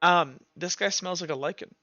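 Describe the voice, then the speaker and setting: A man's voice: sits high, moderate pace, pushed and bright, dry recording, highs cut off. A young man narrating as game master of a tabletop roleplaying game, in a loose, rambling way. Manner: loose and rambling, many fillers.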